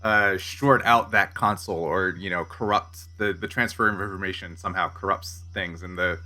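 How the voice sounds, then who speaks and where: A man improvising narration as game master of a casual tabletop role-playing game, pausing to find his words. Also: low-pitched, fairly even intonation, sharp tone. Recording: faint music underneath.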